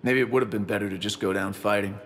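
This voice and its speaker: An actor voicing a grieving male shuttle pilot, quiet and reflective. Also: fairly low pitch, fairly even intonation, fast talker, natural voice. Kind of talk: video game voice acting.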